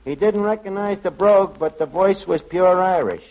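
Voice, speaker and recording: An actor playing a scheming, wisecracking tavern manager in a 1940s radio comedy. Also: male voice, high voice, moderate pace. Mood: exasperated. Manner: wisecracking, mangled phrases. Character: fast-talking, scheming tavern manager who mangles his words. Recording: dull, noisy vintage radio recording.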